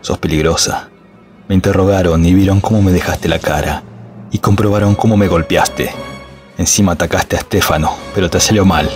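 A man's voice: sits low, fairly even intonation, brisk, a little mellow, soft background music, lightly acted. An actor playing a scheming, murderous man in a radio drama, with a Rioplatense accent, sneering and laughing. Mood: gloating. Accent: Rioplatense Spanish. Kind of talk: online radio drama acting.

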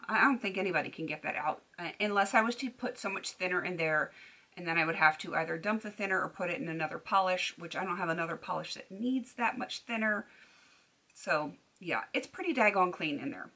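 A woman's voice: lowish voice, brisk, sharp tone. A woman in her thirties chatting to camera at home about makeup, rambling with lots of ums and restarts. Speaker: beauty vlogger. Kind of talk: casual chat to camera. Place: bedroom at home. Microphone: camera at desk distance.

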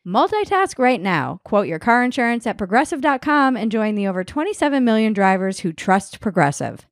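A woman's voice: a little high, big pitch swings, fairly quick, no room sound. Woman podcast host, dry close sound. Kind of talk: podcast interview and host-read sponsor reads.

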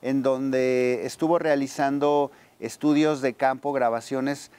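A man's voice: mid-range voice, fairly even intonation, slow pace, a little mellow, smooth. A man, explaining thoughtfully in an interview and often searching for words. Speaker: archive deputy director, interviewee. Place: garden outdoors. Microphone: lapel.

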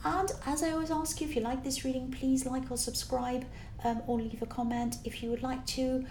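A tired woman with a slightly croaky voice, giving a casual tarot reading to camera in a rambling, encouraging way full of fillers. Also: high-pitched, flat delivery, fairly quick, darkish tone, slight background noise. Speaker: tarot reader. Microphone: camera with external microphone.

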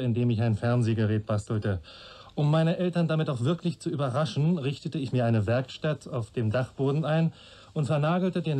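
An actor playing a police assistant in a German radio play, reading a written statement aloud in a matter-of-fact way. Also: male voice, medium pitch, warm tone, lightly acted.

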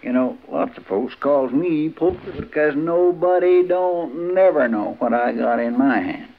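High-pitched folksy frontier deputy. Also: male voice, a little slow. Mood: folksy, sly. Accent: rural American. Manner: drawling, garrulous, stammers when excited. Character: folksy, excitable frontier deputy who loves poker. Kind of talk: 1950s radio western acting. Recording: dull, noisy, crackly 1950s radio recording.

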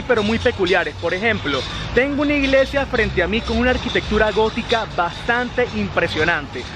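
A young adult man from Venezuela with a Venezuelan accent, narrating emphatically and casually as a travel vlogger. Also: very high-pitched. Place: outdoor town plaza. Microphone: camera at arm's length. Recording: noisy outdoor street vlog.

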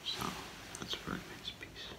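A middle-aged man whispering in a halting, hushed ASMR role play as an appraiser, breathy and gravelly, close to the mic. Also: background noise. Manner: slow, hushed, halting, with long pauses. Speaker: ASMR performer role-playing a gemologist. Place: small curtained room indoors.

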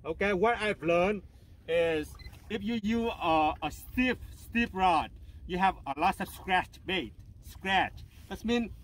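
A man hosting a casual instructional fishing vlog out on a small open boat, explaining haltingly with repetition and frequent check-ins. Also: high voice, expressive, fairly bright tone. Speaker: host of a fishing channel. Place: small open motorboat out on a bay.

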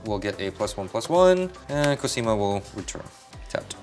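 A man's voice: lowish voice, very animated, normal speed, darkish tone, soft background music. A man at a card game table, calling out his moves in a dry, matter-of-fact way. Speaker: player. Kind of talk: casual tabletop game chat. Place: indoor studio at a game table.

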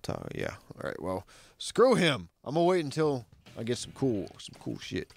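A young man with a very deep voice casually commenting on his game, laid-back and swearing a lot. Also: huge pitch swings, normal speed, gravelly. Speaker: game streamer. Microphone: studio mic with bass roll-off.

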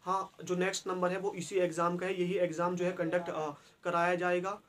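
Young male host, high voice, earnest and repetitive, to-camera in a small room. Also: flat delivery, fairly bright tone. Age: young adult. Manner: earnest, repetitive, many filler pauses. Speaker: exam-news channel host. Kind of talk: explanatory talk to camera. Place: small indoor room by a brick wall.

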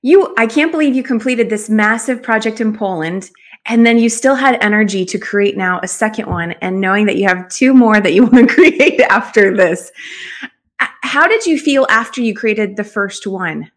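A woman's voice: mid-range voice, normal speed. A middle-aged woman hosting a conversational interview over a video call. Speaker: show host, confidence coach.

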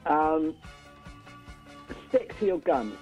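A man's voice: a little high, sing-song, drawn-out words, darkish tone, highs cut off, soft background music. An older man, a band musician, talking casually as a radio interview guest, opinionated and repeating words as he builds his point.

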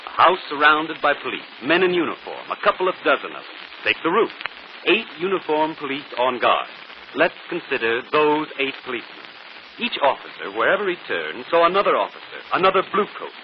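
A noisy, dull old radio recording carries a confident gentleman detective. His delivery swings widely in pitch as he lays things out crisply, in classic 1940s radio drama manner. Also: sing-song, fairly quick. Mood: explaining, confident. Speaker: detective and program host.

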